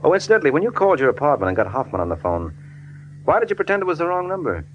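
An actor playing a cool, sardonic hard-boiled American detective in 1940s radio style. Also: male voice, a little high, big pitch swings, racing, lo-fi sound. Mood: wry. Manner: sardonic, laconic, teasing. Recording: noisy old radio drama recording.

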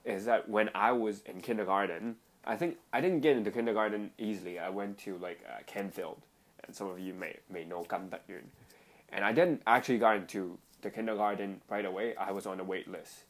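A young man from Hong Kong in a casual chat, agreeable and quick to chime in. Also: fairly low pitch. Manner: agreeable, chimes in to finish the other speaker's sentences. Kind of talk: casual conversation.

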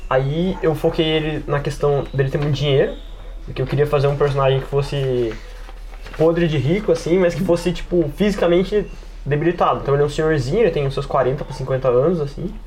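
Higher-voiced man chatting casually over noise. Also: quick pace, darkish tone. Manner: informal, hesitant, circling back to rephrase. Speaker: RPG podcast participant and player. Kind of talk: casual chat.

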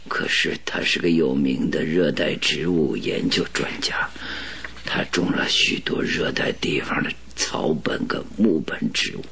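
An actor playing a gravely ill, stubborn detective in a radio drama, with a weak, breathy, halting voice. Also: male voice, rumbling low, bright, forceful tone, a little hiss or hum, heavily acted. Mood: weak, insistent. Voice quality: breathy, raspy, weak whisper. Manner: halting, laboured, pleading.

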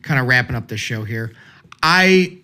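A man's voice: mid-range voice, animated, moderate pace, pushed and bright. A man from Southern Indiana with a slight Southern Midwestern accent, hosting a casual talk show about music and digressing eagerly. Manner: digressive, opinionated, lists favorites eagerly. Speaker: podcast host.

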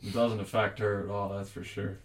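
A man's voice: lowish voice, flat delivery, normal speed, recorded from a distance. A young man in casual conversation, rambling and arguing his points with plenty of profanity. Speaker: podcast host. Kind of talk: casual chat.

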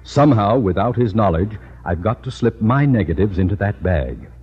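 Blustering middle-aged American principal, pompous and formal, raspy, muffled vintage radio sitcom sound. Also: male voice, on the low side, expressive, normal speed. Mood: scheming, imperious. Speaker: supporting actor in a radio sitcom. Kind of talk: 1940s-50s American radio comedy acting. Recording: muffled old-time radio recording.

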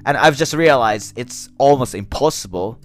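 A man whose first language is Japanese, speaking English with a Japanese accent, talking slowly and thoughtfully to camera with many restarts and fillers. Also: mid-range voice, lively intonation, soft background music. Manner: slow and thoughtful. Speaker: English learner. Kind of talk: reflective solo talk.

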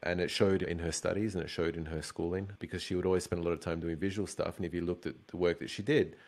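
Very deep-voiced enthusiastic man. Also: fairly even intonation, fast, darkish tone. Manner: enthusiastic, emphatic. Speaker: guest, design recruiter. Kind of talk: casual interview chat.

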